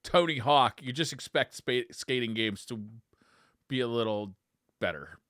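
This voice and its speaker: A man's voice: medium pitch, unhurried, a bit bright, dry recording, studio quality. An enthusiastic man, chatting casually as a gaming podcast co-host. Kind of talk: casual chat about video games.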